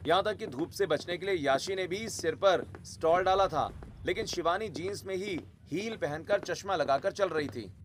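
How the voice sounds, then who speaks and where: High-pitched male story narrator. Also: moderate pace, slight background noise. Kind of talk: scripted Hindi story narration.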